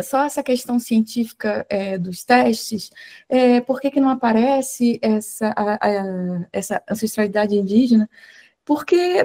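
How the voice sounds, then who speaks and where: Mellow-voiced woman explaining. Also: on the high side. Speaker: guest speaker. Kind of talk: casual explanation.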